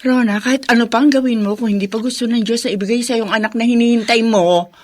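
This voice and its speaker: An actress playing a frank, exasperated but affectionate older sister in a radio drama, scolding in a colloquial way. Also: female voice, a little high, steady tone, quick pace, lightly acted.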